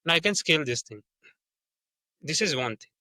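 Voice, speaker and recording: A man's voice: a little high, animated, moderate pace, bright, forceful tone, no echo. A young man with an Indian accent, a sales rep talking through his work in a casual interview, earnest and full of restarts and fillers. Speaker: sales development representative, guest.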